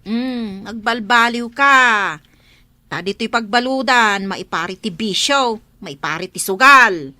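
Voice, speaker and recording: A woman's voice: on the high side, unhurried, sharp tone, no echo. A woman playing a reproachful relative in a comedy drama, scolding a wayward young man.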